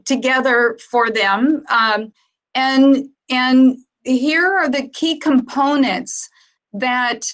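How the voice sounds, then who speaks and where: A woman giving an informal, unscripted presentation on an online conference call, speaking in a halting, reflective way as an organisation's founder. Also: a little high, a bit bright.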